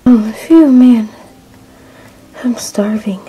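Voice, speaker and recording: A woman voicing a wounded young woman who mutters to herself in a breathy, half-whispered murmur and keeps running out of breath. Her voice sits fairly high but sounds soft and mellow. She speaks slowly and drags out each line. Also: full character voice, poor audio. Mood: whispery weary. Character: injured young woman searching for her lost friend. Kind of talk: amateur audio drama acting.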